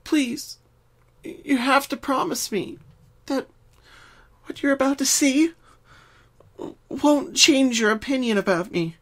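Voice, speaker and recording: Man voicing a timid, high-pitched girl pony, hushed. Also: takes it slowly, long pauses, full character voice. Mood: anxious pleading. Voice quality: hushed, soft. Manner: hesitant, pleading. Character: timid, tearful young female pony, frightened and pleading.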